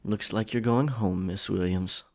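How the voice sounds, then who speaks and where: Man acting a cool, very low-voiced pirate. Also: steady tone, unhurried. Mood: wry, subdued. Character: Grayson, cool, businesslike male pirate, wryly smiling. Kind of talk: audio drama character acting.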